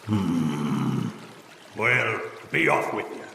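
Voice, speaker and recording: An actor playing a mean, hungry troll who guards a bridge, with a gloating, menacing way of talking. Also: male voice, mid-range voice, expressive, vocal fry, echoey room, big-room sound, very noisy. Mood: grudging. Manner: gloating, menacing, drawn-out growls.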